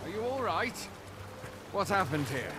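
A man's voice: sits high, sing-song, measured pace, over loud music. A man playing an armored soldier, wary but helpful, in a fantasy game with archaic, theatrical phrasing. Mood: alarmed, questioning.

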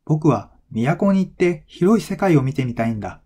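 A man's voice: fairly high pitch, lively intonation, normal speed, warm tone, husky, voice pushed up. A man voicing an eager, thumb-sized fifteen-year-old boy adventurer in a storybook tale, speaking earnestly. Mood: eager, determined.

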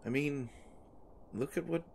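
A man performing an intimate audio roleplay as a nervous, eager-to-please young man who talks in halting, flustered stammers. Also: on the high side, creaky, darkish tone, slight background noise. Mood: protective, pleading. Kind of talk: intimate one-sided audio roleplay drama.

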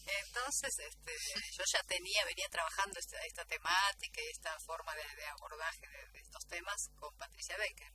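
A woman's voice: lowish voice, wildly swooping pitch, a little fast, hardly any pauses, sharp tone, soft background music. A woman with a Rioplatense Argentine accent, talking conversationally as a guest in a radio interview. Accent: Rioplatense Argentine Spanish. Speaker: guest, radio drama actress and announcer. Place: small radio studio. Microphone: studio table microphone. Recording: noisy with audible hum.

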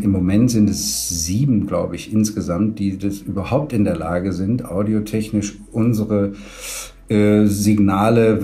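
Low, mellow man explaining hesitantly. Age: middle-aged. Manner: hesitant, searching for words. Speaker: 3D audio producer. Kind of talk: interview explanation.

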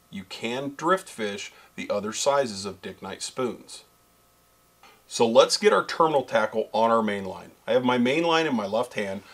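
A man's voice: mid-range voice, fairly bright tone. A middle-aged man giving a step-by-step how-to demonstration as a show co-host, talking straight to viewers. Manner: step-by-step instructive, addresses viewers as folks and guys. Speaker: fishing pro staffer and co-host. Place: small studio set with backdrop.

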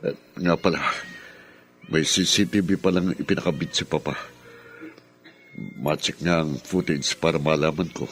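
A man's voice: a bass voice, steady tone, normal speed, long pauses. An actor playing a concerned young man in a Filipino radio drama, earnestly musing aloud. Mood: troubled, resolute. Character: concerned young male vlogger, a doctor's son.

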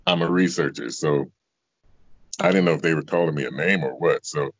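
A man's voice: rumbling low, brisk, no echo. A man in his fifties, a singer and voice actor, answering interview questions in a reflective, conversational way. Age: 50s. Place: indoor room, video call. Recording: dull, lo-fi video call audio.

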